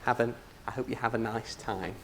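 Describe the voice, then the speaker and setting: A man playing an earnest, bumbling model train company man who presents in a halting way, in a mock corporate comedy. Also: mid-range voice, fairly even intonation, fairly bright tone, slight background noise, close to an everyday voice. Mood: earnest, awkward.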